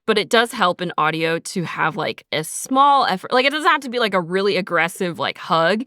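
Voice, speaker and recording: A woman's voice: fairly low pitch, expressive, normal speed, sharp tone, no echo, studio quality. A woman podcast host talking casually about her craft, peppering her sentences with "like". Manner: informal, frequent "like" as filler. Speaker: podcast host and audio drama sound designer. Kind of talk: casual behind-the-scenes podcast chat.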